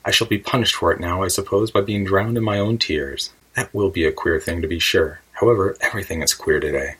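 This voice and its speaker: Man voicing a polite, husky-toned little storybook girl. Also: low-pitched, a little fast, fairly bright tone, low-quality recording. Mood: dismayed. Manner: earnest, rambling, apologetic. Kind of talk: children's storybook character voice.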